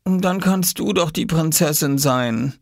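A man voicing an exhausted, unwell mother in a children's storybook, weary and pleading for quiet. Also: on the high side, takes it slowly, vocal fry, dry recording, clean studio sound, voice pushed up.

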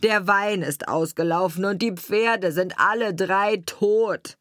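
A woman voicing a gruff, self-pitying male wagon driver in a fairy tale, drawing out his words emphatically. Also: mid-range voice, fairly bright tone, no room sound, studio quality. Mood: wailing. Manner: drawn-out, emphatic lamenting and scoffing.

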